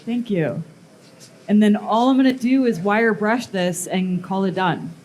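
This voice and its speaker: A woman giving a casual live metalworking demonstration, explaining steps informally and haltingly as she thinks aloud. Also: mid-range voice, a little mellow. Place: live blacksmithing demonstration before onlookers.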